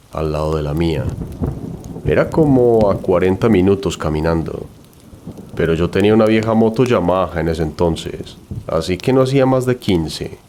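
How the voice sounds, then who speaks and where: A man's voice: low voice, darkish tone, a little hiss or hum. A man narrating a scripted first-person horror story.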